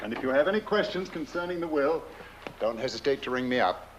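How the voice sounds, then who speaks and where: An actor playing a polite, evasive family lawyer with a courteous, formal, drily genteel manner. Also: male voice, medium pitch, animated, fast talker, slight background noise, lightly acted, low-quality recording. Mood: politely evasive. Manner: courteous, formal, slightly hesitant. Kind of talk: film drama dialogue.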